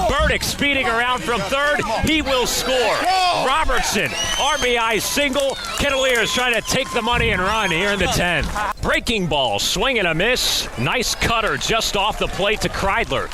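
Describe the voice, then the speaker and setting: A man calling baseball play-by-play as live radio commentary from an outdoor ballpark, rising excitedly on big plays. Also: sits high.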